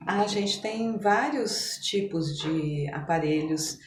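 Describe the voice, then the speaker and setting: Echoey, low-voiced Brazilian woman explaining. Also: slow pace. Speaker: interview guest, medical professional. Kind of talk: conversational podcast explanation. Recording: echoey room, faint background noise.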